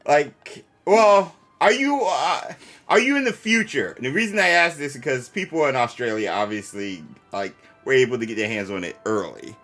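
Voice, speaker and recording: A man's voice: medium pitch, expressive, normal speed, a bit bright, faint music behind. A man hosting a livestream, chatting casually and playfully with viewers in informal slang. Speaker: live stream host.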